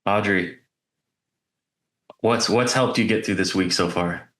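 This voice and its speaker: Man co-host, lowish voice, roomy sound. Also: a little slow, fairly bright tone. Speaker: podcast co-host. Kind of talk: casual podcast interview.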